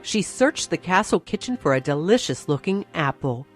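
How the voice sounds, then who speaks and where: A woman narrating a scripted fairy tale for children, expressive and carefully phrased. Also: on the low side, moderate pace, music in the background. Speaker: storyteller.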